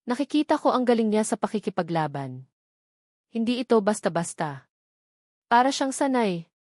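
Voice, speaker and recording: A woman's voice: a little high, big pitch swings, moderate pace, darkish tone, no room sound, clean studio sound. A woman telling a first-person dramatic love story in an earnest storyteller's voice.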